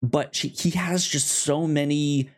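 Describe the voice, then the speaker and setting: Halting man co-hosting a casual podcast. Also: fairly high pitch, steady tone. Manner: halting, repeats words and trails off. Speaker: podcast co-host. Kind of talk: casual TV show discussion.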